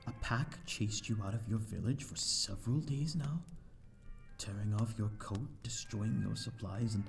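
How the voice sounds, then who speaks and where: A man's voice: lowish voice, normal speed, vocal fry, faint music behind. A man playing a courteous, lonely vampire host in an ASMR audio roleplay, speaking in a formal, flowery, slightly flustered and hesitant way. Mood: sympathetic, intrigued. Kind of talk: ASMR audio roleplay, scripted character acting.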